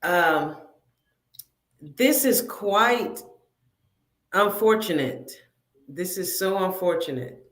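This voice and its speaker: A woman calling into a livestream over a video call, asking pointed questions in a deliberate way. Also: on the low side, animated, fairly bright tone, reverberant. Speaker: livestream guest. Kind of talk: casual livestream talk.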